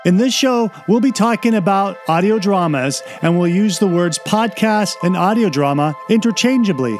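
A man's voice: sits high, lively intonation, normal speed, faint music behind. A man hosting a podcast, presenting to listeners and putting questions to a guest. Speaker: podcast host.

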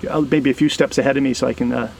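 A man hosting a podcast in a casual, conversational style, with halting phrasing that often repeats words. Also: mid-range voice, steady tone, gravelly, darkish tone, slight background noise. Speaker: podcast host. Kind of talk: casual interview and podcast narration.

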